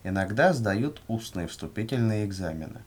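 A man reading scripted language-course answers in a measured, carefully enunciated way. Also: lowish voice, animated, husky. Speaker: language lesson reader.

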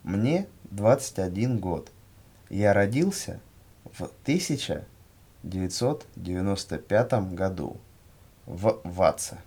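Husky, lively-pitched man reading lesson dialogue. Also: slow pace. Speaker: language-lesson questioner and model speaker. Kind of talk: scripted language-lesson dialogue, read aloud.

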